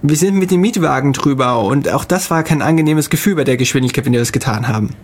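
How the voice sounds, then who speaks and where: A man co-hosting a casual podcast, telling travel stories in a halting way with many fillers. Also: medium pitch. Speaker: podcast co-host. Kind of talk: casual chat.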